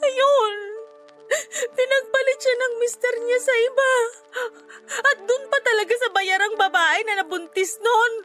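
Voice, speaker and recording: A woman's voice: very high voice, bright, forceful tone, exaggerated voice. A woman playing an anxious, crying wife who longs for a child in a radio drama, pleading and emotional. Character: tearful, anxious wife longing desperately for a child.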